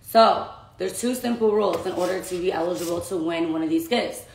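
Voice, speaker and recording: A young woman with a raspy voice chatting casually to followers on a phone livestream about a giveaway. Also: lowish voice, brisk, darkish tone, roomy sound, slight background noise, low-quality recording. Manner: chatty, laughing, talking to her dogs between points. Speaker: social media influencer. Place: small bedroom indoors. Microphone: phone at arm's length.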